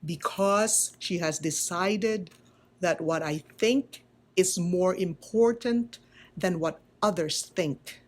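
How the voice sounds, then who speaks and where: A woman voicing a kindly, loving male wood carver in a children's storybook, speaking slowly, strongly and with emphasis. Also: lowish voice. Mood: tender, reassuring. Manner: slow, deliberate, tender.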